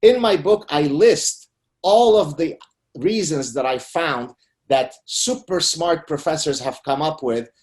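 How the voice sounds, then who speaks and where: A middle-aged man from Lebanon whose first language is Arabic, speaking emphatically as a guest in an interview. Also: darkish tone. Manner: emphatic, rising pitch on key points. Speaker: guest author. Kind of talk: conversational interview.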